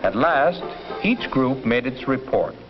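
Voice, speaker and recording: A man playing an earnest schoolteacher, narrating a 1950s educational film in measured, formal phrases. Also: medium pitch, lively intonation. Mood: proud. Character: earnest male schoolteacher narrating his class's progress. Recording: dull 1950s film soundtrack with music.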